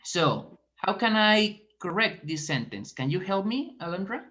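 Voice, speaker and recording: Slow, patient woman teacher on a call. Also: medium pitch, big pitch swings, a bit bright. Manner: slow, encouraging, questioning. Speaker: teacher trainee giving feedback. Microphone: video call. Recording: dull video call audio.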